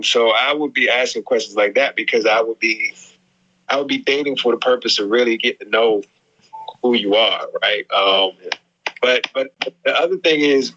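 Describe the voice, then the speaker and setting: Casting: middle-aged man, calm reflective advice-giver, lo-fi sound. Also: medium pitch, normal speed. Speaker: resident psychologist. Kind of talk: casual advice chat. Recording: muffled lo-fi audio.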